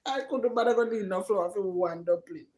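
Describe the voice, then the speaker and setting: A woman's voice: mid-range voice, fairly quick, a little mellow. Jamaican woman chatting in an echoey room. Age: middle-aged. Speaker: live stream host. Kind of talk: casual live chat, reading out viewers' comments. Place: living room, indoors. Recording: roomy echo of a living room.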